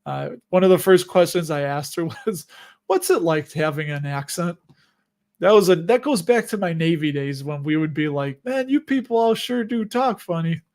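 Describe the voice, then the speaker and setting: A middle-aged man with a Chicago accent chats loosely through viewer questions on his livestream. His voice sits a little on the high side, and he often breaks off mid-thought and laughs at his own jokes. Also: expressive, normal speed, darkish tone. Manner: rambling and chatty, with frequent restarts and self-amused laughs. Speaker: host of a dividend investing livestream. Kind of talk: casual livestream chat answering viewer questions.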